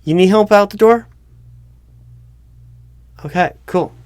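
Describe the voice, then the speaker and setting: A man playing a flustered, earnest recruiting manager in a one-sided job interview roleplay, speaking haltingly and often correcting himself. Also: high voice, background noise, pitched above the performer's own voice. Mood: exasperated. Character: flustered, unobservant male corporate recruiting manager, earnest, exasperated. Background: computer keyboard typing.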